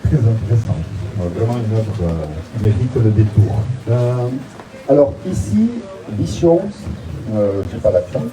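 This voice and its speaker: A man's voice: fairly low pitch, lively intonation, unhurried, background noise. Hesitant man giving a mock-solemn tour. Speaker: mock exhibition guide. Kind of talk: tongue-in-cheek guided tour. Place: outdoors in front of a museum.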